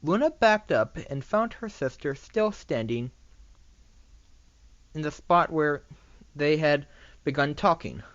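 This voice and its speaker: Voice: mid-range voice, lively intonation, fairly bright tone, no room sound. An amateur reader with a lisp reading a story aloud, stumbling over words and correcting as they go. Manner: halting, often misreading and correcting words. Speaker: amateur reader of fan fiction. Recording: dull lo-fi microphone recording.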